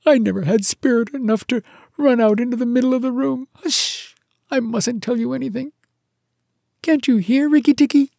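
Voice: medium pitch, moderate pace, creaky, darkish tone, dry recording, studio quality, voice pushed up, close to an everyday voice. A narrator voicing a timid, broken-hearted little male muskrat, tearful and pleading, in an animal storybook. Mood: fearful sobbing. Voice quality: whimpering, tearful. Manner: pleading, fearful.

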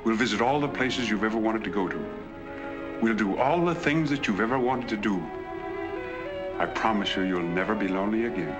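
An actor playing a middle-aged husband who is earnest and persuasive, in 1940s film style. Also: male voice, on the low side, fairly quick, natural voice. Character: wealthy husband, earnest, possessive. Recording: 1940s film soundtrack with music.

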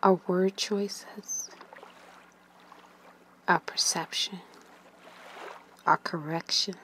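A woman leading a guided meditation in a gentle, soothing manner, with long pauses and breathy phrase endings that trail away. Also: on the low side, steady tone, a little hiss or hum.

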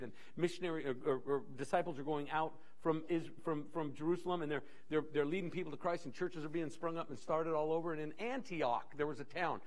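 A middle-aged man preaching a sermon on a church stage, animated, pacing with many restarts. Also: fairly high pitch. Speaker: pastor. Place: church stage in a large dim hall.

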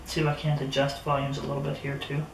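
A middle-aged man giving a casual, unhurried gear review to camera, speaking in a mild, somewhat hesitant way. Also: mid-range voice, monotone. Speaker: product reviewer. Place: small indoor room at a table. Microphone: camera a few metres away. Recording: roomy, distant camera mic with noticeable noise.

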